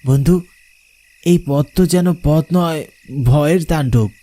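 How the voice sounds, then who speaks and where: A man's voice: a little high, slow pace, warm tone. A man voicing an uneasy, chatty young friend with a flair for exaggeration in a horror cartoon. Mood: spooked.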